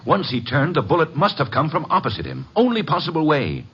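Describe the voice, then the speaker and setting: An actor playing an agitated, accusing card-club partner with a rough, husky voice, in old radio drama style. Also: male voice, a little high, sing-song, brisk, full character voice. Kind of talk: 1950s radio detective drama acting. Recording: dull lo-fi old radio recording.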